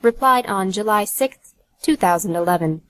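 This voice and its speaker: A woman's voice: medium pitch, expressive, normal speed, fairly bright tone. A woman narrating an audiobook from a script in a neutral, announcing manner.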